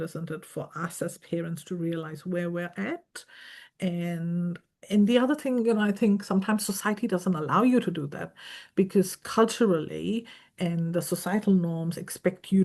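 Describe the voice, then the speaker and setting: A woman's voice: fairly low pitch, darkish tone. A middle-aged woman from India, hosting a remote conversation in a reflective, chatty way with many fillers and asides. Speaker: podcast host. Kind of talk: conversational interview chat.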